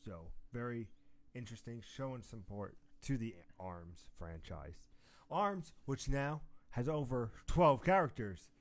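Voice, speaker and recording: A man's voice: mid-range voice, big pitch swings, unhurried. A man hosting a gaming news show, mixing news reading with casual, teasing banter and the odd swear word.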